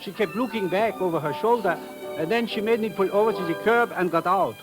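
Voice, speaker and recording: An actor playing a humble, puzzled immigrant taxi driver with a European accent and halting grammar in an old radio drama. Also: male voice, high-pitched, natural voice. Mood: earnest. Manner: halting grammar, earnest, deferential. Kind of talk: 1940s-50s radio drama acting. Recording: vintage radio recording with music.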